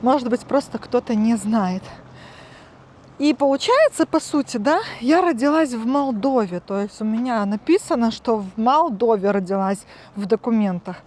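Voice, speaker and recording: A woman's voice: fairly high pitch, expressive, normal speed, darkish tone. A woman in her thirties from Transnistria, chatting casually to camera and explaining things step by step with plenty of fillers. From Transnistria, Moldova. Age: 30s. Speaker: vlogger. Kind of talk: casual vlog talk to camera. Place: outdoors and at home, walking.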